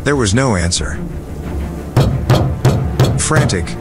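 Male narrator for audio drama. Lowish register, scripted dramatic storytelling, heavy music bed. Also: lively intonation, slow pace.

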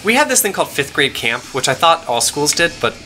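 A man's voice: a little high, big pitch swings, fairly quick, bright, forceful tone, faint music behind. A young man from Pennsylvania telling a rambling story with lots of fillers while co-hosting a game playthrough. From Pennsylvania, USA. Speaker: let's play co-host. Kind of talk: casual chat over gameplay.

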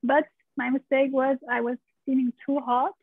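A woman's voice: high-pitched, flat delivery, normal speed, dry recording. A woman in her thirties from Romania, telling her story openly in a video-call interview from her living room. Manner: open storyteller, laughs often, gestures while talking. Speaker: guest, pelvic steam practitioner. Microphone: video call, laptop mic. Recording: dry, tinny video-call audio.

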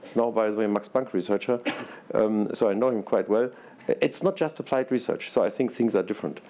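An older man in his sixties with a German accent speaks earnestly on a conference panel, often repeating words as he hunts for the right phrase. His tone is mellow and dark. He speaks into a lapel mic. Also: lowish voice, a little fast. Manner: earnest, repetitive, searching for words. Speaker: research institute president, panelist. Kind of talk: panel discussion remarks. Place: large conference stage before an audience.

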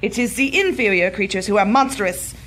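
A woman's voice: medium pitch, a little fast, a bit bright, slight background noise, natural voice. A woman playing a haughty, ruthless villainess in a pulp adventure drama, imperious and formal. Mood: coldly gloating. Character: haughty, ruthless German Nazi villainess, archaeologist.

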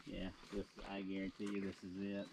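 A man's voice: deep voice, completely flat delivery, a little fast, creaky. An older man chatting casually, a bit winded, as he hikes a trail. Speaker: hiker in a couple's travel vlog. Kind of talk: casual chat while hiking. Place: outdoors on a forest and ridge trail. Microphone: handheld camera, a few steps away. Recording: noisy handheld outdoor camera audio.

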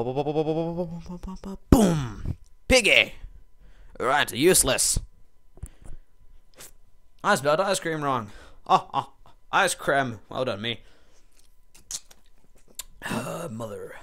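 A man's voice: medium pitch, animated, a little slow, long pauses, fairly bright tone. A teenage boy giving casual, rambling commentary over a screen recording, muttering and mocking himself as he types. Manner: rambling, self-mocking, muttering while typing. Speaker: video maker.

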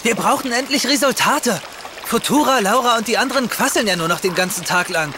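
High-pitched, brash, reckless angler. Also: male voice, expressive, brisk. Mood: irritated boasting. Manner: slangy, brash, dismissive. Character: reckless, boastful male institute employee and amateur angler. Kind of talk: German audio drama acting. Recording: clear outdoor ambience noise behind.

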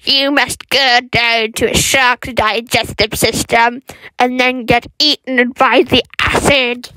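A woman's voice: fairly high pitch, pushed and bright, smooth, no room sound, poor audio. A woman voicing a bossy, morbid cartoon challenge host in a blunt, commanding manner.

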